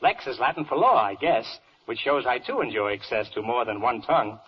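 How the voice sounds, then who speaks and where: An actor playing a vain, bloodthirsty, fickle judge, blustering in theatrical rhyme in a 1940s radio verse comedy. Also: male voice, medium pitch, lively intonation, a little fast, a little hiss or hum, natural voice. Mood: testy. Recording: muffled old radio broadcast.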